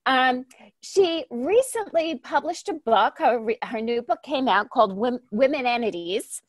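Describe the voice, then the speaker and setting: A woman's voice: high voice, lively intonation, unhurried, no room sound. A woman hosting a podcast, introducing her guest in a chatty way and correcting herself as she goes. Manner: chatty, self-correcting, stumbles over names. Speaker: podcast host.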